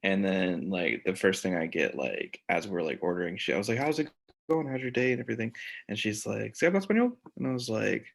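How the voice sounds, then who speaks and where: A man's voice: lowish voice, animated, brisk, gravelly, slightly rough. A man, co-hosting a casual podcast chat, rambling with many fillers and restarts. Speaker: podcast co-host.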